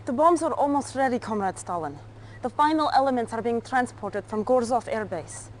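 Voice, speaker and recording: A woman's voice: fairly high pitch, expressive, normal speed, slight background noise, lightly acted. A woman playing a commanding Soviet military officer in a game cutscene. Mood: brisk reporting. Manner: urgent, commanding. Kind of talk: 1990s game cutscene acting.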